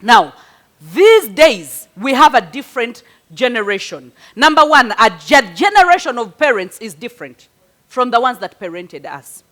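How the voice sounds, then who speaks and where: Woman, middle-aged, non-native English, very high voice; animated advice-giver who talks to a group in stories and questions. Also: moderate pace. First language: a local language, not English. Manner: animated, storytelling with rhetorical questions. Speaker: speaker answering parenting questions. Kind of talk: conversational advice talk to a group.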